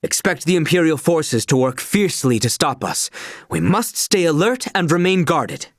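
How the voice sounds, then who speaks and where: A man's voice: a little high, very animated, moderate pace, no echo, clean studio sound. A man voicing an earnest, dutiful young royal knight in a video game, with courtly, precise, old-fashioned diction. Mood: urgent. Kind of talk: video game character voice lines.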